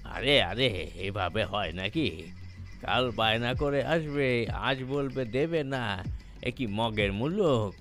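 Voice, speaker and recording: Slow-talking old haggler. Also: male voice, medium pitch, animated, fairly bright tone, faint music behind. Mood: annoyed. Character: haggling old male cattle buyer, irritable, scornful. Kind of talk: audio story acting.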